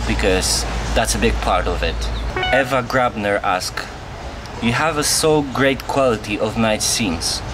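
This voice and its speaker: A man's voice: fairly low pitch, noisy background. A young man from Poland with a Polish accent, casually answering viewer questions in a vlog. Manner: plain, earnest, answers viewer questions one by one. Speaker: vlogger. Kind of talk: casual Q&A to camera. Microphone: on-camera mic.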